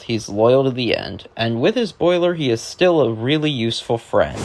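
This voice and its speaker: A man's voice: medium pitch, a little hiss or hum. A man narrating children's rhymes as a read-aloud, reciting the verse in a sing-song way. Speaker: narrator, reader.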